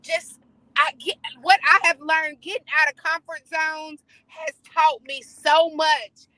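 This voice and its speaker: A woman's voice: high-pitched, steady tone, slow pace, sharp tone, no echo, poor audio. A woman co-host in casual motivational chat, speaking emphatically and repeating phrases for effect. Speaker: talk show co-host.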